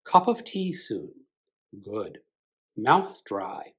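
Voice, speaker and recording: A man's voice: fairly low pitch, sing-song, drawn-out words, a bit bright, heavily acted. A man voicing a middle-aged man who mutters fondly to his cat in an audiobook-style reading. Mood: musing.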